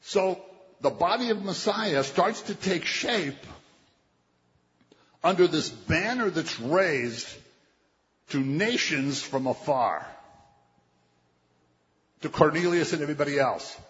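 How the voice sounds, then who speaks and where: Male preacher, slightly high voice, conversational delivery; long hall reverb. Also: expressive, takes it slowly, long pauses, a bit bright. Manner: conversational preaching, quotes scripture, acts out voices. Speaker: pastor. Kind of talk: sermon. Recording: dull, muffled sound with long hall reverb.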